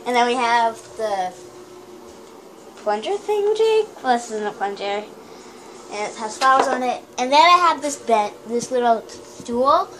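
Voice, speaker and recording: A young woman chats her way through a tour of her small house, rambling and giggling as she goes. Her talk is slow and unhurried, her voice sits a little high, and the handheld phone recording carries a steady wash of noise. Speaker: vlogger giving a home tour. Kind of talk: casual home tour narration. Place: small house, kitchen and bathroom. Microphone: handheld phone camera. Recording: noisy home phone video.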